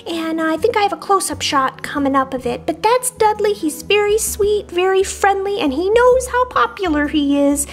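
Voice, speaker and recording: A woman voicing a cute, excitable little lamb girl plush toy who narrates a travel vlog in a chirpy, childlike, giggly way. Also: extremely high pitch, moderate pace, few pauses. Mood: sweet, excited. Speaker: vlog host.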